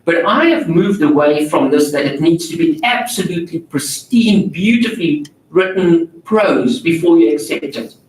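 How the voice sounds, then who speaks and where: Echoey, slightly high-voiced man in a hall. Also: lively intonation, normal speed, hardly any pauses. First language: not English. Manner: candid, self-deprecating, moderating questions. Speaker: journal editor on a panel. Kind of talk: panel discussion answering audience questions. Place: large auditorium. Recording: echoey auditorium, distant mic, faint noise.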